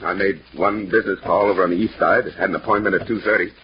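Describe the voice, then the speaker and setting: A man's voice: deep voice, fairly even intonation, fast, natural voice. An actor playing an earnest, polite businessman devoted to his wife, in an old-time radio mystery. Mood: shaken, subdued. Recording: noisy, dull vintage radio recording.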